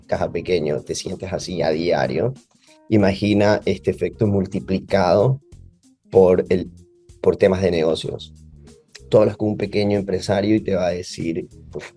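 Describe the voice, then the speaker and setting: Deep-voiced Ecuadorian man explaining. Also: mellow, breathy edge. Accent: Ecuadorian Spanish. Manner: explanatory, uses many fillers. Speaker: guest. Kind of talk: podcast interview.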